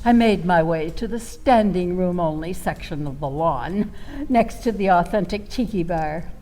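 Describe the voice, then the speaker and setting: A woman's voice: fairly low pitch, mellow, soft background music, lightly acted. A woman playing a flamboyant, self-dramatizing storyteller in a comic radio monologue, wry and flirtatious, drawing out words for effect. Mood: melodramatic. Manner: theatrical, drawn-out delivery with pauses, sighs and exclamations.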